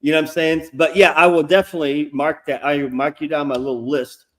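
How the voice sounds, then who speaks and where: A middle-aged man with a nasal voice hosting a casual livestream interview, rambling and swearing freely as he gets animated. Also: a little high, a little fast. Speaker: podcast host.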